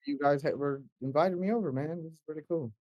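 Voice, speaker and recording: A young man from Mexico, a guest mix engineer, telling his story in a relaxed, animated way, full of fillers and acted-out quotes. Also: on the high side, fast, no room sound, dull audio. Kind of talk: casual interview chat.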